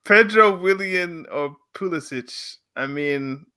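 A man's voice: high-pitched, slow pace, bright, forceful tone. A man co-hosting a casual football chat, laid-back and joking, reading out listener questions and laughing often. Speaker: football podcast co-host.

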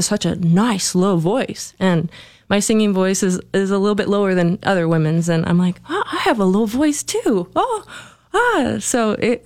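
Smooth-voiced young woman musician guest. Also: mid-range voice, no echo. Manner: chatty, with fillers and little laughs. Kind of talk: casual interview talk.

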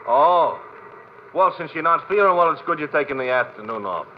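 Man acting a garrulous high-voiced cab driver, old radio. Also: expressive, moderate pace, lightly acted. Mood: chatty, pestering. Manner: garrulous, repetitive, slangy working-class talk. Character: chatty, folksy male cab driver, cheerfully pestering. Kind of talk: golden-age radio drama acting. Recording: muffled, hissy vintage radio recording.

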